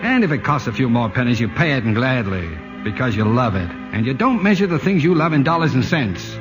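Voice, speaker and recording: An actor playing a harried, middle-aged city ad man, brisk and exasperated, in 1940s radio acting. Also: mid-range voice, very animated, close to an everyday voice. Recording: dull old radio broadcast with music.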